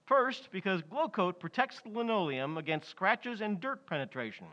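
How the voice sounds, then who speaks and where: An actor playing an enthusiastic, polished sponsor announcer, selling in 1940s radio style. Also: male voice, high voice, big pitch swings, normal speed, pushed and bright, muffled recording, full character voice. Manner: polished, salesmanlike. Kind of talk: 1940s radio advertising pitch.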